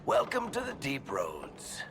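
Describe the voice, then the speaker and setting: A man playing a roguish, wry dwarf storyteller in a video game, with a gravelly voice. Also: mid-range voice, wildly swooping pitch, slow, noisy background, full character voice. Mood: wry, amused. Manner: wry, joking. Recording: loud music behind the voice. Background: game soundtrack music.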